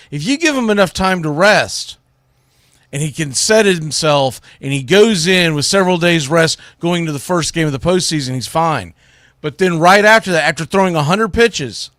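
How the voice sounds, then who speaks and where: Man, sports radio host, somewhat higher voice, opinionated, blunt, emphatic, off-the-cuff analysis, dry studio-like sound. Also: fairly bright tone, no echo. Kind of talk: unscripted sports commentary and analysis.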